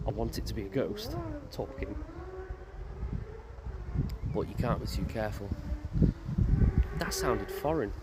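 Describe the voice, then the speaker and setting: A man's voice: lowish voice, huge pitch swings, measured pace, pauses often. A man vlogging in a graveyard, musing aloud and asking himself questions as he walks. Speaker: paranormal investigator vlogger. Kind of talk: casual walking commentary. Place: outdoors in a graveyard. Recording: loud outdoor noise, passing motorbike.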